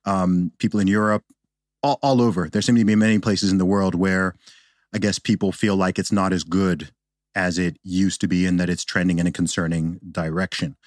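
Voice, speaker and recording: Deep voiced host dude, chatty and keen, asking stuff, dry close sound. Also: male voice, little pitch movement, moderate pace, dry recording. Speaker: podcast host. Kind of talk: podcast interview. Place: studio.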